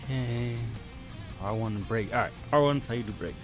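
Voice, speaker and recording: A man's voice: medium pitch, fairly quick. A man streaming a game, giving casual live commentary in short, muttered reactions. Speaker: gameplay streamer. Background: game music and combat sounds.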